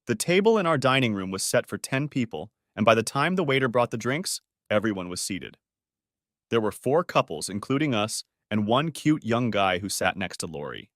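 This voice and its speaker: A man's voice: fairly low pitch, sing-song, moderate pace, a bit bright, no room sound, studio quality. A man reading a scripted first-person story as its narrator.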